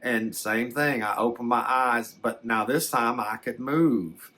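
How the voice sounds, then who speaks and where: An older man, telling a personal story in a halting, reflective, folksy way. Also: medium pitch, pushed and bright. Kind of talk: slow first-person storytelling.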